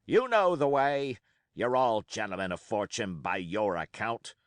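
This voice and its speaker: Man reading as John Silver, a gruff old pirate cook. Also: expressive, measured pace, no echo. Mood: defiant. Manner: gruff, low and commanding. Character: crafty older one-legged pirate cook, gruff and menacing.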